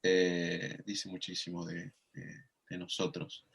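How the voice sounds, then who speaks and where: A man with a lowish voice talks through his thoughts slowly and haltingly, circling back over his words. It sounds like a relaxed remote podcast conversation. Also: vocal fry, husky, poor audio. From Mendoza, Argentina. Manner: hesitant, many fillers and restarts, thinking aloud. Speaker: podcast guest. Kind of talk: casual reflective conversation.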